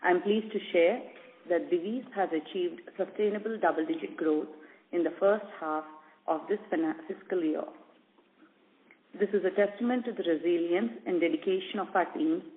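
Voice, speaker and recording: A woman gives a formal, scripted business presentation as a company executive. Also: on the low side. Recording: tinny, narrow phone line.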